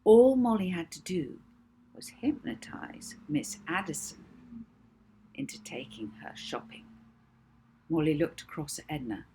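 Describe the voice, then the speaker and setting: A woman reading a children's novel aloud as an expressive audiobook narrator. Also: deep voice, slight background noise, poor audio.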